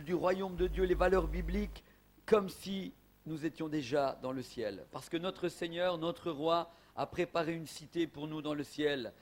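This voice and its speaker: Man preaching, fairly high voice, emphatic sermon, church, faint hum behind. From Portugal. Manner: emphatic preaching with rising exclamations. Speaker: evangelist.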